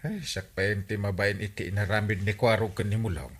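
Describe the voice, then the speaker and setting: An actor with an Ilocano accent playing an exasperated, exclamatory village captain in a comedy radio drama. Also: male voice, lowish voice, fairly even intonation, fairly quick, a bit bright. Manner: exclamatory, repeats interjections.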